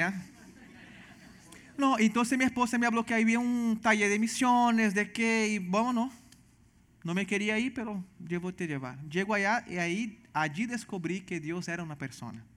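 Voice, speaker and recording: A man from Venezuela with a Brazilian Portuguese accent, earnestly telling his testimony as a missionary guest, halting and restarting often. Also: high voice, fairly bright tone. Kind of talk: personal testimony in church interview.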